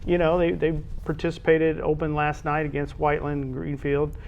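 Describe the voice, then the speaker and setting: A man's voice: fairly high pitch, monotone, normal speed, creaky, highs cut off, a little hiss or hum. An American man co-hosting a casual discussion about sports. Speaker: co-host.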